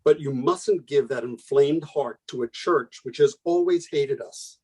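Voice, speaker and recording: A man's voice: medium pitch, measured pace, a little mellow, husky. An actor playing a troubled man in a radio play, earnest and challenging as he argues. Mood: concerned, challenging. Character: troubled man arguing against a loved one's conversion.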